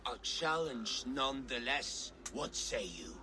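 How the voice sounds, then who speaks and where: An actor playing a jovial Viking at a feast in a video game, hearty and theatrical. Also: male voice, mid-range voice, sing-song, takes it slowly, sharp tone, full character voice. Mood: daring. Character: jovial Viking feast host issuing challenges. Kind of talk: video game character acting. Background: ambient noise.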